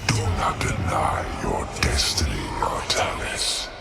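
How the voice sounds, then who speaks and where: Menacing dark god, extremely deep, very slow, gravelly, breathy, ominous, video game villain. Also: male voice, wildly swooping pitch, in a whisper, over loud music, heavily acted. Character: ancient, menacing dark god preaching false salvation.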